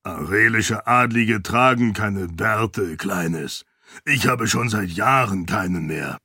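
A man voicing a huge, boisterous, affectionate middle-aged nobleman with a raspy, booming, throaty voice in an audiobook. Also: takes it slowly, sharp tone, no room sound, clean studio sound, heavily acted. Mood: fond.

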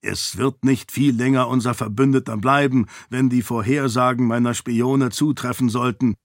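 A man's voice: mid-range voice, steady tone, moderate pace, dry recording, clean studio sound, close to an everyday voice. A man voicing a protective, headstrong king and father in a fantasy audiobook. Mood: grim.

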